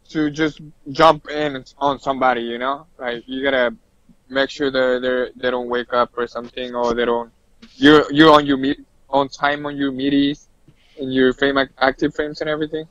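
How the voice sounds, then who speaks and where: A man chatting casually as a guest player, giving game opinions in a halting way with repeated, restarted phrases, over lo-fi voice chat. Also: mid-range voice, flat delivery, fairly bright tone, no room sound. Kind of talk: casual unscripted game discussion.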